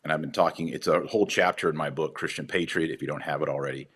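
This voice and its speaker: A man hosting a podcast, hoarse from a chest cold, talking earnestly and leaning deliberately on key words. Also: a bass voice, a bit bright. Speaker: podcast host. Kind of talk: podcast commentary.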